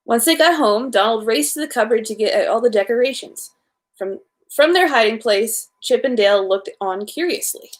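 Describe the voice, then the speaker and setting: A woman's voice: a little high, animated, moderate pace. A woman hosting a children's story time, reading a storybook aloud in an informal way with small stumbles.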